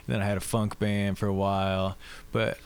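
A man with a low-register voice, a musician guest chatting easily and thoughtfully in an interview. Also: fairly quick, smooth. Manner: relaxed, thoughtful, frequent "like" fillers. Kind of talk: casual interview chat.

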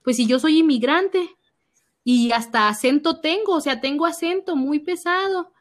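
Young Mexican woman, youth minister, fairly high voice, animated storyteller acting out her own reactions. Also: normal speed. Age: young adult. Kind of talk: personal testimony in conversation.

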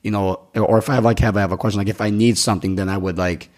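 A man's voice: fairly low pitch, steady tone, very fast, a little mellow. A man in his twenties hosting a casual podcast, rambling with lots of restarts and casual swearing. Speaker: podcast host.

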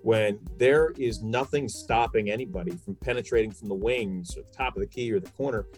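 A man's voice: fairly low pitch, a little fast, darkish tone, faint music behind. A man talking basketball as a sports commentator, giving opinions emphatically and repeating phrases for stress. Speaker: sports commentator, podcast guest.

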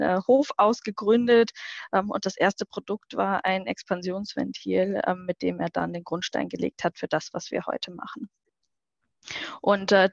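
A woman giving explanatory answers as an interview guest, with a mid-pitched voice. She talks as a recruitment manager, and the sound is dry and somewhat muffled. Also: dry recording.

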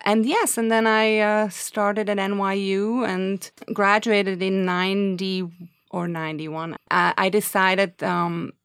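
A woman, a film editor answering interview questions with frequent pauses and restarts. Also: mid-range voice, level tone, a bit bright, smooth, dry recording. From Switzerland. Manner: hesitant, many fillers and restarts. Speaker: film editor and documentary filmmaker.

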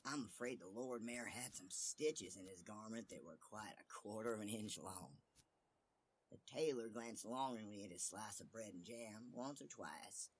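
A man narrating a fairy tale as an expressive storyteller. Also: lowish voice, a little hiss or hum.

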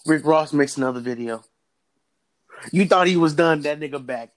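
A man's voice: a little high, normal speed. Laughing, profane young man from Florida. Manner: profane, laughing, animated storytelling. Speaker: podcast co-host. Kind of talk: casual podcast banter.

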